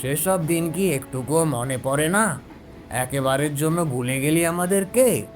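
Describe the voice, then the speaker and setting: A man's voice: fairly high pitch, measured pace, soft background music, pitched above the performer's own voice, close to an everyday voice. Man voicing a grave, cold old grandfather. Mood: reproachful, ominous. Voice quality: heavy, cold voice. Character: grave, reproachful old grandfather with an eerie chill.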